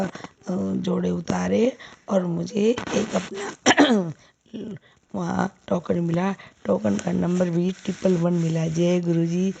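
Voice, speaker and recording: A hoarse woman sharing a devotional testimony, thanking and pleading with her guru between chants. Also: on the low side, warm tone, a little hiss or hum. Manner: tearful pleading, repeated thanks.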